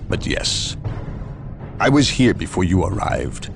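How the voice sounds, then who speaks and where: An actor playing a stern, secretive inquisitor in a video game cutscene, speaking in a haughty, grave, deliberate way. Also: male voice, low voice, big pitch swings, gravelly, exaggerated voice. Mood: grave, foreboding. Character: stern, secretive male imperial inquisitor hunting demons. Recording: music behind the voice.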